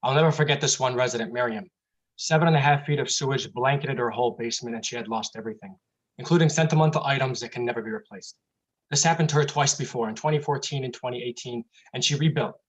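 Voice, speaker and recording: A man candidate races through a prepared speech at a quick clip. His voice is mid-pitched, and the recording is a little dull and muffled as he lays out stories and examples. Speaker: mayoral candidate giving closing statement. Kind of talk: prepared campaign speech with storytelling.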